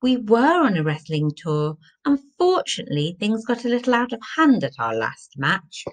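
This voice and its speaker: A woman's voice: mid-range voice, big pitch swings, moderate pace, pitched above the performer's own voice. A woman voicing a genteel, unflappable older married woman with a polite, rueful manner in a story.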